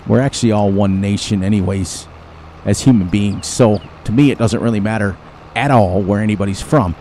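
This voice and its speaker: A man's voice: on the low side, warm tone, slight background noise. A man hosting a farming game channel, chatting casually and rambling from one thing to the next with frequent chuckles. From United States. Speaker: gaming channel host. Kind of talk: casual gameplay commentary.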